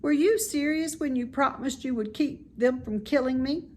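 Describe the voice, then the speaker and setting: A woman's voice: high voice, moderate pace, slight background noise, pitched above the performer's own voice, lightly acted. A woman voicing an anxious, eager young male pig in a storybook reading, pleading politely and full of questions. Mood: anxious, curious.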